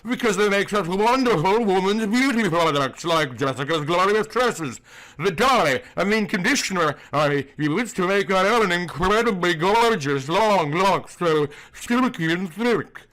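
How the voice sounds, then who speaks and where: A man's voice: high voice, animated, measured pace, fairly bright tone, low-quality recording. A man voicing a syrupy, doting middle-aged rich wife in a soap-opera parody, cooing theatrically. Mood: breathless admiration.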